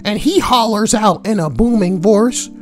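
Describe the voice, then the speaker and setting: A woman's voice: mid-range voice, a little slow, faint music behind. A woman reading forum posts aloud and adding crude, sweary, joking commentary. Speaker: online narrator and commentator.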